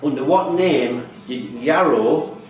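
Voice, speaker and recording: A man's voice: medium pitch, lively intonation, takes it slowly. A man reading out questions as the quiz master at a live quiz. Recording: echoey room, distant mic, loud background music.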